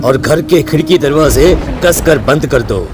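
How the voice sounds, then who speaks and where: A man's voice: on the high side, lively intonation, unhurried, noisy background. A man voicing a worried, protective middle-aged village headman in a cartoon story, grave and nervous. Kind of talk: Hindi cartoon voice acting.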